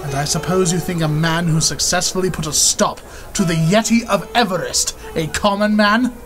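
A man's voice: sits high, moderate pace, over music, lightly acted. An actor playing a pompous, boastful male explorer in the manner of a 1930s radio serial. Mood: haughty. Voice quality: pompous, theatrical.

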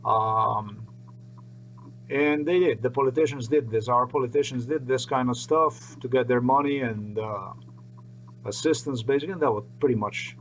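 A middle-aged man speaks unscripted into a desk webcam, circling back and repeating his phrases in an earnest, rambling way. His voice sits at an ordinary pitch for a man. A faint electrical hum runs under the small indoor room. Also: rough recording. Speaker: vlogger. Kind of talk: unscripted monologue to camera.

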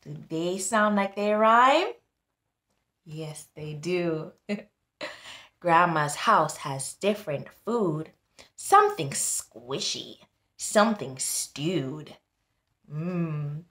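Very slow, expressive woman reading to children. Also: low-pitched. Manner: expressive, deliberate, prompting listeners with questions. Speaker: tutor reading aloud to young children. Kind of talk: children's picture book read-aloud with teaching asides. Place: small plain-walled room indoors. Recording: echoey small room.

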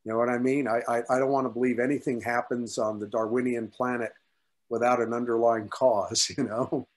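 A man's voice: medium pitch, flat delivery, brisk. Older man talking shop, emphatic and conversational. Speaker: paleontologist at a workshop. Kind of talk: informal academic discussion. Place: indoors, on a video call. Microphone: video call computer mic.

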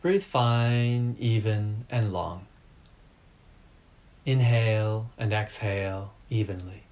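A man leading a guided meditation as a teacher, giving calm, deliberate instructions in short, measured phrases. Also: on the low side.

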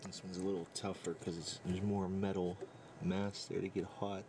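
Low-voiced man thinking aloud. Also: level tone. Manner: unhurried, thinking aloud while working. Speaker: DIY electronics demonstrator. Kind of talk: casual hands-on tutorial narration. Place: indoor workbench. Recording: clear background noise and room ambience.

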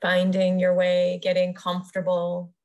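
A woman leading a guided meditation as a yoga nidra teacher, soothing and unhurried, pausing between short phrases. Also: lowish voice, completely flat delivery, a little mellow.

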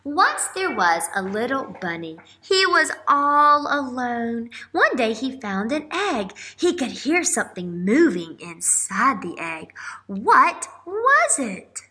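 A woman in her thirties, a teacher reading a picture book aloud to young children and asking them questions with drawn-out emphasis. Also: on the high side, sing-song, bright, forceful tone. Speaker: elementary school teacher. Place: small classroom-like room, indoors. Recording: roomy indoor echo.

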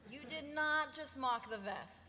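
A woman's voice: high voice, lively intonation, unhurried, pushed and bright, full character voice. A young woman playing a snarky, blunt female sidekick in a live table read, with a sarcastic, casual manner. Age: young adult. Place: convention panel stage, table microphone. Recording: echoey convention hall, audience noise.

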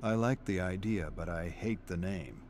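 A man's voice: low-pitched, moderate pace, a little mellow, lightly acted. A man reading a scripted, dramatic story narration as the narrator. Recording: background noise under game narration.

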